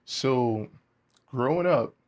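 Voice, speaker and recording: A young man from the Bahamas, a guest musician talking in a relaxed way, with many fillers. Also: mid-range voice, very slow. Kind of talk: casual interview chat.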